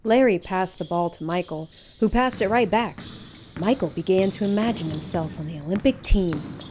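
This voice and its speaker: A woman reading a children's picture book aloud as a storyteller, in an expressive, measured way. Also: fairly low pitch, over music.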